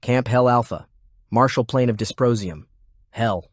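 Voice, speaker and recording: A man's voice: mid-range voice, a little slow, no echo, studio quality. A man reading scripted narration of military fantasy fiction as an audiobook narrator.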